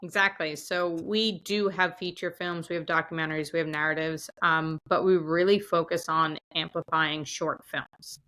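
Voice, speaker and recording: A woman founder answering interview questions in a steady, measured way, pausing on frequent ums. Her voice sits low with little rise and fall, yet has a bright, forward tone. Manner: measured, uses frequent um fillers. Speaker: startup founder and CEO, interview guest.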